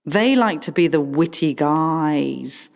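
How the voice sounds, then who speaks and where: A woman playing a wry, brooding figure in a poetic radio play, speaking deliberately. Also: low-pitched, expressive, gravelly, a little mellow, heavily acted. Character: wry figure brooding over the witty guys. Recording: long reverberant hall, mallet music behind.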